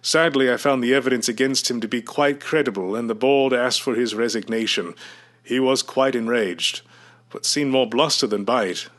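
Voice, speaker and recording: A man playing a courteous, fussy university chancellor with a stuffy, halting, melodramatic delivery in an old-time radio style. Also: mid-range voice, fairly bright tone, lightly acted. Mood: reflective, doubtful.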